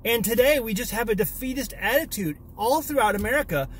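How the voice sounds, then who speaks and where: A man's voice: extremely high pitch, lively intonation, fairly quick, slight background noise. A man in his thirties hosting a homesteading channel, talking to a phone held at arm's length in a parked car, emphatic and repeating words for emphasis. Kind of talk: casual selfie vlog rant. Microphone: phone or camera at arm's length.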